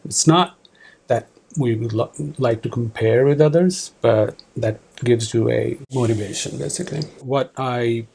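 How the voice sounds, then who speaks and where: A man in his forties from Pakistan, a department manager answering interview questions in an office, halting with many fillers and restarts. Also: mid-range voice, creaky, darkish tone, slightly rough.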